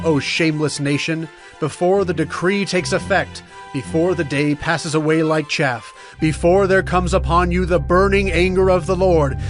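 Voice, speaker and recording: An actor playing a stern, urgent Hebrew prophet of doom in a solemn, emphatic dramatized scripture reading. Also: male voice, high-pitched, lightly acted. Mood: pleading, urgent. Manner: solemn, emphatic, deliberate oratory. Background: music.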